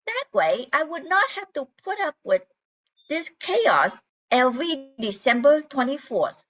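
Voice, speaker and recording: Radio play reindeer, female actor: proper, fussy, measured and very melodic, slightly lo-fi sound. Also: high voice, very animated, fairly bright tone, exaggerated voice. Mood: prim, disapproving. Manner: prim, formal, precise. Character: poised, proper, fussy reindeer who demands politeness. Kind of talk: amateur radio play acting.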